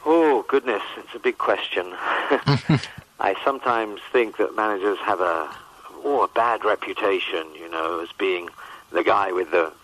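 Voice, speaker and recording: A man in his fifties answering questions in a measured, hesitant way on a radio phone interview. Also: low voice, a bit bright, rough recording. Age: 50s. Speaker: rock band manager, interview guest. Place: on a phone call to a radio show. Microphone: telephone.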